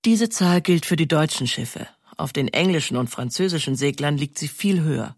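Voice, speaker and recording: A woman's voice: low-pitched, expressive, normal speed, darkish tone, no echo, clean studio sound. A woman giving scripted documentary narration as the narrator of an audio feature.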